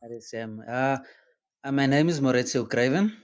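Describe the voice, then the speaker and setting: A man's voice: mid-range voice, moderate pace. A South African man speaking as a business co-founder in a brief, plain-spoken way over a shared call line.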